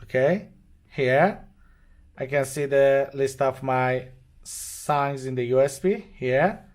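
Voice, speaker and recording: Halting man demonstrating a device, non-native English. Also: medium pitch, darkish tone. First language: not English. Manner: halting, short simple phrases. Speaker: product demonstrator. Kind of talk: hands-on product tutorial, unscripted step-by-step explanation. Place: indoors at a demo bench with devices.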